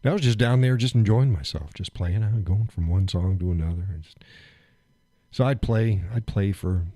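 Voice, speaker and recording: A man hosting a live stream, chatting casually and rambling with fillers and chuckles, phlegmy and clearing his throat. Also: on the low side, normal speed, warm tone, no echo. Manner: rambling, chuckling, thinks aloud with many fillers. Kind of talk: casual chat reading viewer comments.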